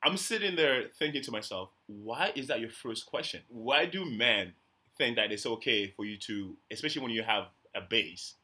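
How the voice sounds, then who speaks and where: A young Canadian man hosting a podcast, talking emphatically in casual conversation with a naturally loud voice. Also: mid-range voice, animated, moderate pace, breathy edge. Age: young adult. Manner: emphatic, says he has a loud voice. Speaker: podcast host.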